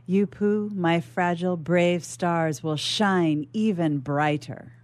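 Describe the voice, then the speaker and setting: A woman's voice: on the low side, takes it slowly, darkish tone, no room sound. A woman reading the part of a dreamy, headstrong noble lady with a poetic, musing manner. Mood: wistful.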